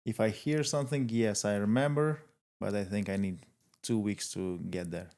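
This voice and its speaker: A man from Latvia whose first language is Russian, a coach speaking as a podcast guest and giving brief, measured answers. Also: on the low side, fairly even intonation, darkish tone. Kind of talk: podcast interview.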